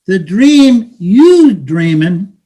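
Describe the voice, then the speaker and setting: A high-voiced working man, played by an actor in a stage drama, streetwise and disillusioned. He preaches in slangy, emphatic bursts, jaded and weary of his lot. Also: big pitch swings, drawn-out words, dark tone, full character voice, low-quality recording. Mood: scornful, insistent. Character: cynical, disillusioned low-wage American worker, streetwise and weary. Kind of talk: stage play reading.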